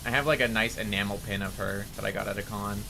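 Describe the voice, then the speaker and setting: A man's voice: lowish voice, fairly even intonation, fast talker, vocal fry, background noise. A young man with a nasally voice, rambling casually to his chat as a streamer while playing a game. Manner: rambling, chatty, answering chat.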